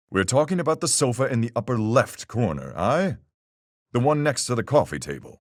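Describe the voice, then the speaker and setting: A gruff, hearty sea captain from a mystery video game, played by a man, speaks in a very low register with wide swings in pitch. He is level-headed and folksy, peppering his measured deductions with seafaring slang. The voice is clean and smooth. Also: no room sound, clean studio sound, exaggerated voice. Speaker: voice actor in a fan-made mystery game. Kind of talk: video game character voice lines.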